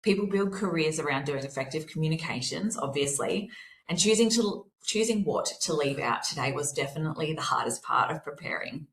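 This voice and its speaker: A woman with a slightly hoarse voice, presenting a workplace seminar in a measured, explanatory way. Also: sits low, animated, echoey room, rough recording. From Australia.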